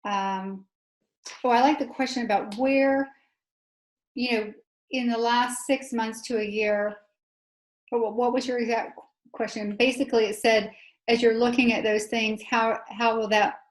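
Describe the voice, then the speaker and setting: A woman's voice: fairly high pitch, steady tone, unhurried, roomy sound, poor audio. A woman hosting a webinar discussion, rambling with many restarts. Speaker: webinar host. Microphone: video call.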